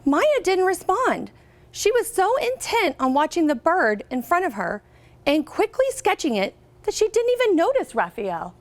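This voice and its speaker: An American woman reading a picture book aloud to children as a guest reader, expressively, pausing to ask her listeners questions. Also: very high-pitched, big pitch swings. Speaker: guest reader, company representative.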